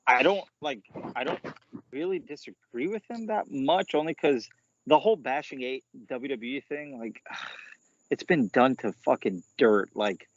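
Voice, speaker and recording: A man co-hosts a casual wrestling podcast in a loose, profane style full of "like." His pitch sits in the middle range. The recording is dry and slightly muffled. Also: lively intonation, measured pace, no echo. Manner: casual, swears, lots of "like". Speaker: podcast co-host.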